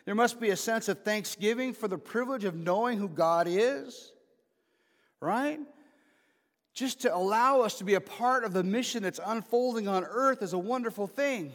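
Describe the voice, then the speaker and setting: A man's voice: sits high, measured pace, fairly bright tone. A man in his fifties preaching an informal sermon as pastor, mixing joking asides with emphatic calls to the congregation. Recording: preached in a reverberant hall.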